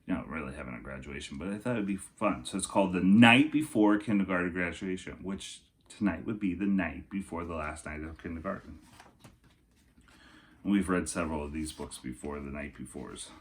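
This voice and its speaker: A man's voice: sits low, a little fast. A man reading a storybook aloud as a teacher, expressively, speaking directly to young children.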